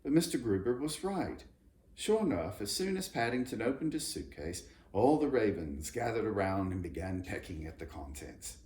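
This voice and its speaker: A man narrating a children's story in an expressive, scripted storytelling style. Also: lowish voice, normal speed. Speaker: storybook reader. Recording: roomy echo, background noise.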